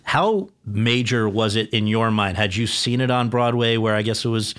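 A man with a fairly low voice hosts a podcast conversation, eagerly asking questions. He keeps things moving with frequent quick yeahs and a casual, friendly pace. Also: a bit bright. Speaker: podcast host. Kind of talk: conversational interview.

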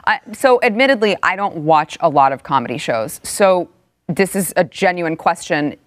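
A woman's voice: on the low side, expressive, moderate pace, no room sound, studio quality. A woman talking casually as a co-host on a talk show. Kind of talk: casual discussion.

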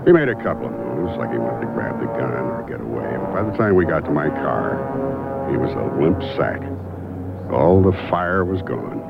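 An actor playing a weary hard-boiled investigator in a radio crime drama. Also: male voice, extremely low pitch, sing-song, normal speed, vocal fry, exaggerated voice. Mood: grim reflective. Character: weary hard-boiled male investigator narrating his first murder case. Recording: muffled vintage radio broadcast with loud music.

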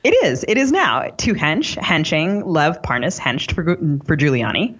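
A woman's voice: sits low, big pitch swings, normal speed, breathy edge. A woman hosting a podcast in a playful, casual style. Her voice is on slightly dull, band-limited audio as she banters and introduces guests. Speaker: podcast co-host and novelist.

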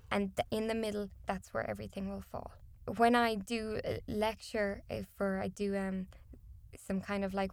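A woman's voice: mid-range voice, creaky, dry recording, studio quality. A woman whose first language is not English, a contracts and disputes consultant, giving explanatory, emphatic interview answers with some restarts.

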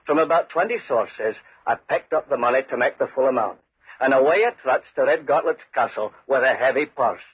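A man's voice: medium pitch, a little fast, slightly rough. An actor playing a humble, uneasy tenant farmer who tells his tale in earnest, folksy 1940s radio style. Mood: earnest storytelling. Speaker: narrator and lead character. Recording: muffled, noisy 1940s radio recording.

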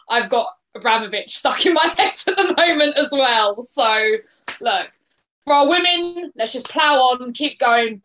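Passionate, bright-voiced woman. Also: a little high, slight background noise, poor audio. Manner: passionate, emphatic supporter talk. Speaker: podcast guest, football fan. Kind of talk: casual podcast chat.

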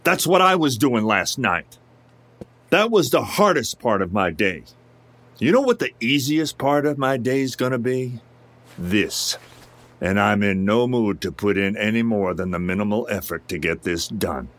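A big, menacing enforcer in an audio drama. He takes his time over each taunt and threat, with a wide swing in pitch. He is foul-mouthed and deliberate. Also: expressive, fairly bright tone. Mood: cold menace.

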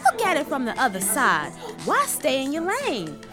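An actress playing a brash, persuasive American hustler in an audio buddy comedy, with slangy, swaggering, teasing talk. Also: female voice, high-pitched, huge pitch swings, moderate pace, over music. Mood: persuasive, cocky. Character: brash, persuasive woman hustler urging friend into crime.

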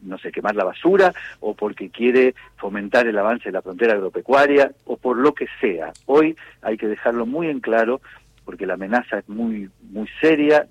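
Argentine guy, official on the radio, low husky voice, formal n wordy, kinda dull lo-fi sound. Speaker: government official being interviewed. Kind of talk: radio interview answers.

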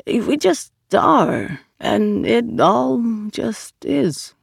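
An audiobook narrator voicing a serene male acolyte who speaks haltingly and philosophically, searching for words. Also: mid-range voice, very animated, vocal fry, slightly rough, no room sound, clean studio sound, pitched above the performer's own voice, exaggerated voice. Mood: serene, earnest. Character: serene, hesitant otherworldly religious acolyte, a male. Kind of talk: audiobook character dialogue.

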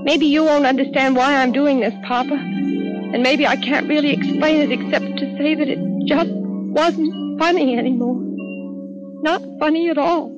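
Exasperated young wife, high-pitched, muffled radio. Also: female voice, steady tone, unhurried. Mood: sad, resigned. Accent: American. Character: exasperated, practical young wife and new mother. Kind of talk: 1950s radio drama acting. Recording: muffled old radio, loud music underneath.

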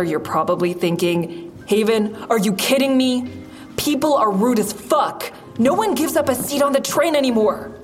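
A woman's voice: medium pitch, natural voice. An actress playing a wry, grieving mother who does stand-up, telling her story candidly in an audio drama. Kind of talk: first-person audio drama narration. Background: music.

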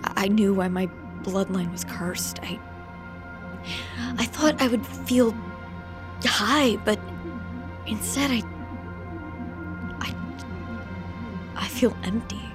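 A woman playing a lost, guilt-ridden woman confessing to a friend in an intimate, halting audio drama monologue. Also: medium pitch, over music, lightly acted. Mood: haunted, empty.

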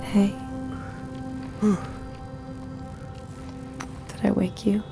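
A woman's voice: medium pitch, flat delivery, drawn-out words, creaky, music in the background. An actress playing a woman operator who works as a sweet, attentive virtual assistant in an audio drama.

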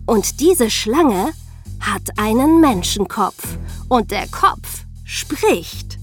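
Lady podcast host, highish voice, chatty storytelling, faint music behind. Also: big pitch swings, very slow. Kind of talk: scripted storytelling, chatty explaining to young listeners.

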